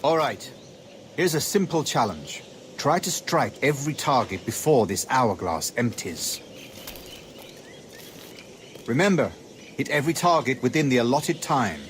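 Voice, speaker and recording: A man's voice: medium pitch, sing-song, unhurried, long pauses, a bit bright, slight background noise. An actor playing a composed, pompous male naval captain, clipped, genteel and self-assured. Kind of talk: video game cutscene acting.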